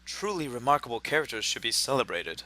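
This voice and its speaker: An actor playing a theatrical, excitable, eccentric gentleman in a hammy noir radio drama. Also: mid-range voice, fast. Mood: grandiose. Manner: theatrical, exclamatory.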